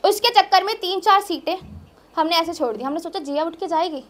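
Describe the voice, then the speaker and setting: Very high-pitched young woman doing stand-up. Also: fairly quick. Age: young adult. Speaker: stand-up comedian. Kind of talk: observational stand-up comedy. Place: small indoor open-mic stage. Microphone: handheld microphone.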